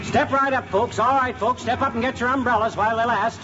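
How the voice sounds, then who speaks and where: A man's voice: steady tone, a little fast, loud music behind the voice. A man playing a brash, boastful middle-aged husband who talks like a huckster in a 1950s radio sitcom. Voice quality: brash, loud huckster pitch. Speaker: sitcom lead. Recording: muffled old radio recording, background hiss.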